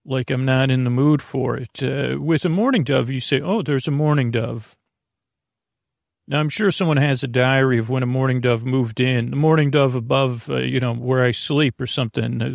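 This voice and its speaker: Male sleep podcast host, very gravelly and creaky, dry close sound, rambling and halting, whimsically self-deprecating. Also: medium pitch, steady tone, no room sound. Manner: meandering, halting, wandering off mid-thought. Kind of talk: rambling bedtime monologue.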